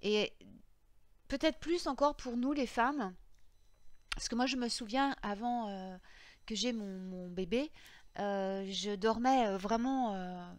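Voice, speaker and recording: Woman, 30s, slightly hoarse, middle pitch, rambling podcast host, dry close recording. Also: dry recording. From France. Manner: rambling, many fillers and restarts. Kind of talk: casual spoken podcast.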